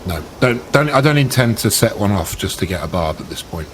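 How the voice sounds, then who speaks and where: A man's voice: on the low side, animated, brisk, a little hiss or hum. A man talking casually as a player in a tabletop game, in a considered, analytical way.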